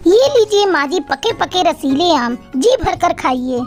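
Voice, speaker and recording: Tiny, very high eager young sparrow voiced by a woman. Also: sing-song, a little slow, soft background music, full character voice. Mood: cheerful, inviting. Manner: respectful, coaxing. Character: eager, cheeky young daughter-in-law sparrow. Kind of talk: Hindi children's cartoon acting.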